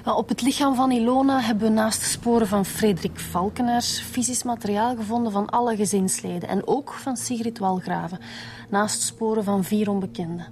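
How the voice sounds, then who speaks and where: An actress playing a businesslike Flemish homicide detective reporting forensic findings in a radio drama. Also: female voice, fairly high pitch, moderate pace, darkish tone, a little hiss or hum, close to an everyday voice. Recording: music behind the voice.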